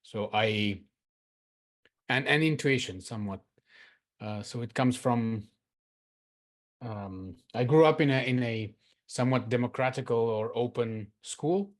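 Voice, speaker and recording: A man from Israel shares his experience with a group during an online session. He talks in an earnest, reflective way, pausing with fillers, then pressing hard on the points he cares about. His voice sits in a middle register, heard through a video call. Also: medium pitch. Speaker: workshop participant. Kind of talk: personal sharing in a group discussion.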